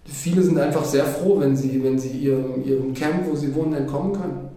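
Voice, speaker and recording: A man who runs a workshop speaks in a thoughtful, slightly halting way. His voice is very soft and mellow and a bit high for a man. The room around him is very echoey. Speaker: workshop manager. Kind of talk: interview statement.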